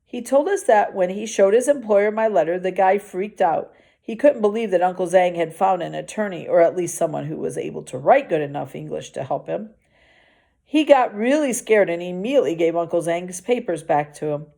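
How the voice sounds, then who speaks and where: A woman's voice: lowish voice, animated, normal speed, vocal fry, mellow. A woman reading a children's novel aloud expressively, as a teacher does for a class.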